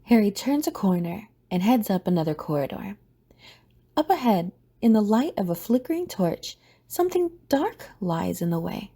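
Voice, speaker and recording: A woman reading scripted story narration for an audio drama in a mellow, husky voice. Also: medium pitch, very animated, normal speed.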